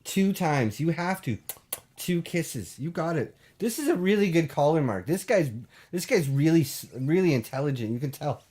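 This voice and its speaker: A man hosting a call-in show, bantering deadpan with a caller, full of hesitations and restarts. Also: a little high, normal speed. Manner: hesitant, full of ums and restarts, straight-faced.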